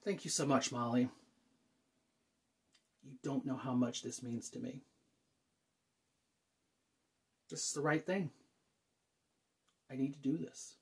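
Man acting anxious, halting thirty-year-old, widely swinging pitch. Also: animated, breathy edge, exaggerated voice. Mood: resolving, tense. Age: 30s. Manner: halting, nervous, sighs and stammers. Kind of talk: dramatic monologue, self-taped acting.

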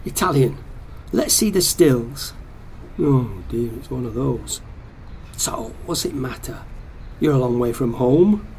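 A lonely, dithering man played by an actor mutters through his options in a radio play monologue. His voice has a gravelly creak, over faint background noise. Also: medium pitch, lively intonation, slow, a little mellow. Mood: hesitant. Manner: muttering, deliberating to himself. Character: hesitant, lonely man talking himself out of things.

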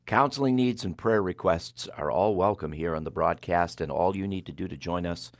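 Older guy hosting radio, really deep voice, dry headset mic, steady announcer pace. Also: no echo. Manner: warm, measured announcer delivery with slow-read phone numbers. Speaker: radio show host. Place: small broadcast studio.

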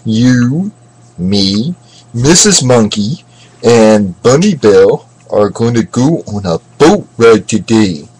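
A performer voicing a friendly, goofy male monkey toy in a deep put-on voice. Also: big pitch swings, slow, darkish tone, breathy edge, heavily acted, low-quality recording. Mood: chummy.

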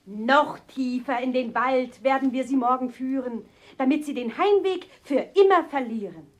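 Frau spielt eine schrille, herrische Stiefmutter. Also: female voice, high voice, moderate pace, close to an everyday voice. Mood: cold, commanding. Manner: sharp, commanding rhymed lines. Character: hard-hearted, bossy, scolding stepmother. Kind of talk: children's fairy-tale audio play.

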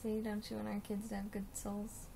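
A woman's voice: medium pitch, completely flat delivery, normal speed, gravelly, noisy background. A young woman chatting casually in a small bedroom, dry and teasing in the way she talks. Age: young adult. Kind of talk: casual chat. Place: small bedroom, lying in bed.

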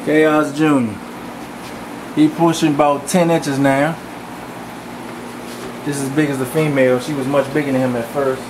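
Relaxed man narrating over fan hum. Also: mid-range voice, a little slow, pauses often. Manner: relaxed, rambling, with many fillers. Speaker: fish breeder giving a tour. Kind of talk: casual walk-through narration. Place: home aquarium room with running fans. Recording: camcorder audio with fan hum.

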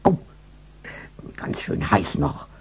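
A low, quiet dog mutters to himself over something tempting, as a male storyteller puts him on. His voice is dark and husky and stays close and soft. He is a good-natured storybook hound. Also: slow, heavily acted. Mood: hushed. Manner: hushed, murmuring to himself. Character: gentle, greedy male family dog.

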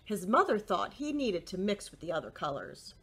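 A middle-aged woman reading a picture book aloud to young children, expressive and deliberate, with short asides to the listeners. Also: medium pitch, slightly rough. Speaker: children's library storyteller. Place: indoors, small room with bookshelves.

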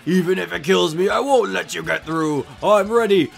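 A man voicing a feisty, cranky old female elder creature in a video game, indignant and drawn-out. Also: high-pitched, gravelly, heavily acted. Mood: outraged. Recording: game music behind.

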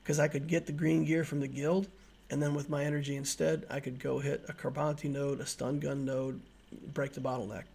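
This is a man in his forties with a slightly high voice that is husky and full of fry. He talks like a gaming host presenting a tutorial, in a conversational, instructional way. He explains patiently and circles back to points to make them stick. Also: flat delivery, a little fast, darkish tone.

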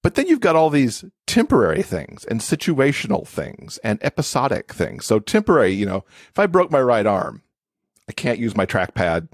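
A man's voice: medium pitch, huge pitch swings, normal speed, dry recording, clean studio sound. A man speaking as an expert podcast guest, explaining things in a conversational interview style.

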